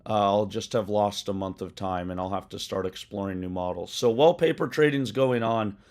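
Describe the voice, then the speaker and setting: Hoarse man rambling through a livestream. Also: lowish voice, moderate pace, a little mellow. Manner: rambling, many ums and uhs, self-corrections. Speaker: livestream host coding a trading tool. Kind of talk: casual live-stream explanation.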